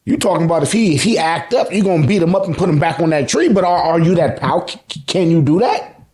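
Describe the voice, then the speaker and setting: A man's voice: fairly high pitch, quick pace. An American man giving a casual, joking recap as a channel host, full of slang and swearing and acting out dialogue. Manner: slangy, profane, joking, animated. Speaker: host of an anime and manga review channel.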